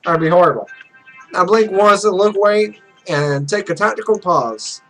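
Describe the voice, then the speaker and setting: A man's voice: sits high, normal speed, fairly bright tone. A man reading a visual novel aloud as a let's player, with casual, sweary asides. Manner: sweary, loose off-script reactions. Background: game music.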